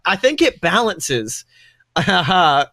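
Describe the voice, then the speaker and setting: A man's voice: sits high, sing-song, extremely slow, creaky, pushed and bright, a little hiss or hum. A man co-hosting a podcast in casual fan chat, gushing enthusiastically in rambling, hyperbolic stories. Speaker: podcast co-host.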